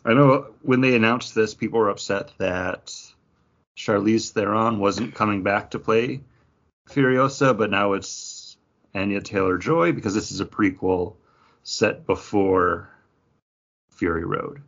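Guy podcast host, bit low and raspy, chatting casually, slightly muffled audio. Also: unhurried, a bit bright. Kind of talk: casual pop-culture chat.

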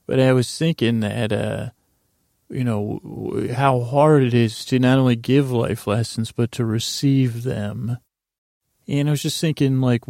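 A man hosting a podcast in a rambling, improvised bedtime-story style, halting and meandering, often repeating himself, with a creaky voice. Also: medium pitch, mellow, no echo, studio quality. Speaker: podcast host.

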